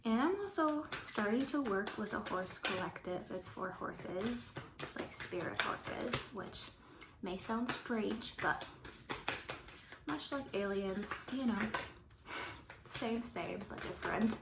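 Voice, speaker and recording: A woman with a slight German accent, giving a casual oracle card reading in a gentle, meandering way that often trails off into soft whispers. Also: mid-range voice, lively intonation, slow. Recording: roomy with faint background noise.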